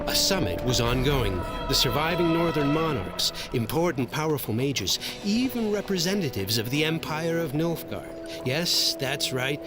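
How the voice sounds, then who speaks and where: Man playing a wry, animated fantasy storyteller. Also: medium pitch, natural voice. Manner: wry, playful asides to the listener. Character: wry male storyteller recounting a fantasy saga as the hero's buddy. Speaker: narrator of a game story recap. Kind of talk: scripted storytelling narration.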